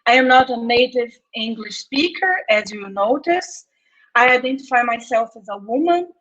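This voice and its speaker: A woman in her thirties from Brazil with a Brazilian Portuguese accent, giving a careful, measured reading of an academic talk from her home office. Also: on the high side, lively intonation, a bit bright. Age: 30s. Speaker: anthropologist, postdoctoral researcher. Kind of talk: read academic conference talk. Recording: muffled lo-fi video recording.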